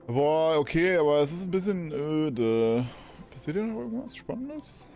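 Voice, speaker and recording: Casting: gameplay streamer, medium register, highly varied intonation, casual off-the-cuff commentary. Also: expressive, moderate pace, a little mellow, faint music behind. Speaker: video game streamer.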